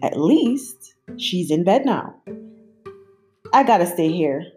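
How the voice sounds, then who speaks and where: Hulking male storybook monster, played by a woman: growling, bossy, short-tempered, swinging widely in pitch. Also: big pitch swings, takes it slowly, mellow, faint music behind. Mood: gruff, resigned.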